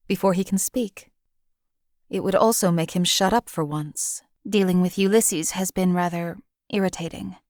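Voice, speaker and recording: A woman's voice: medium pitch, darkish tone, dry recording, lightly acted. A woman voicing a cold, sardonic female assassin companion and former slave, who speaks in a dry, cutting, precise and condescending way. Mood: irritated. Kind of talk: game companion voice lines.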